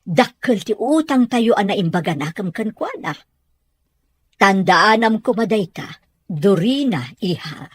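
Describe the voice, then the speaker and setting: Earnest mother with animated intonation, played by a woman. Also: mid-range voice, unhurried, breathy edge, no room sound. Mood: stern, earnest. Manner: earnest, admonishing. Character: firm, caring mother correcting her child. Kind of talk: Ilocano audio drama acting.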